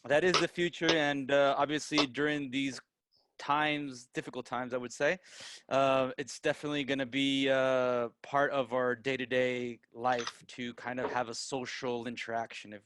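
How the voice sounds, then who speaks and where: A man's voice: mid-range voice, level tone, normal speed, fairly bright tone, slight background noise. A man from Peru raised in the United States, with an American accent, gives an informal online presentation and often checks in with "right?". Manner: frequently tags statements with "right?". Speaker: guest presenter, digital marketer.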